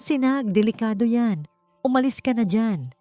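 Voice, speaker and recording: A woman's voice: a little high, lively intonation, normal speed, warm tone, soft background music, pitched above the performer's own voice, close to an everyday voice. Woman voicing an urgent, haunted young male reporter. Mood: urgent, worried. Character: haunted, anxious young man, investigative reporter.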